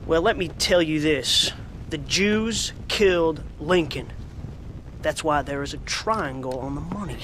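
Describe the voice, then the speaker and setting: A cranky old American shopkeeper from a Western game. His voice is high and sharp, and he lectures slowly with paranoid, self-righteous conviction. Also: a little hiss or hum, low-quality recording. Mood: indignant ranting. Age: older. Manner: ranting, righteous, folksy. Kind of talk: video game cutscene acting.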